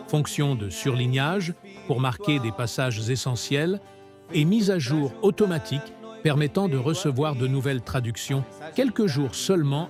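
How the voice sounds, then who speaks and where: A man reading a scripted narration with a measured, solemn delivery. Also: mid-range voice. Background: music.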